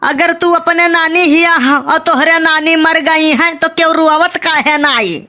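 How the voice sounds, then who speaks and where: A woman's voice: extremely high pitch, steady tone, unhurried, bright, forceful tone, heavily acted. A woman voicing a suspicious, sarcastic cartoon moneylender who insistently nags, with an Awadhi accent and comic exaggeration. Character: suspicious, nagging village moneylender demanding repayment.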